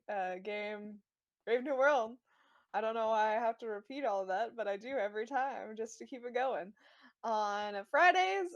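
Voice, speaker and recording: A woman speaking casually as a cast member on a tabletop gaming stream, joking and rambling with drawn-out phrasing. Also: a little high. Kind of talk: casual, rambling self-introduction.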